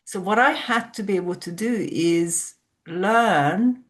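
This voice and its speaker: A woman's voice: mid-range voice, takes it slowly. A woman from Hungary, a sleep coach explaining ideas reflectively as a podcast guest. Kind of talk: podcast conversation.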